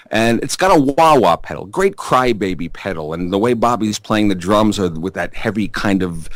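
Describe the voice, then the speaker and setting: A man with a New York accent and a deep baritone voice, answering interview questions in a rambling, chatty way full of fillers and restarts. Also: no room sound. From Staten Island, New York. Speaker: bass player in a rock band.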